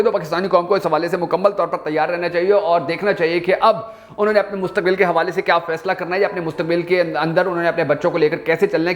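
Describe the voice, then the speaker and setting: Male, Pakistani accent, rapid delivery, slightly high voice, emphatic news commentary, repetitive rhetorical build-up. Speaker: YouTube news channel host.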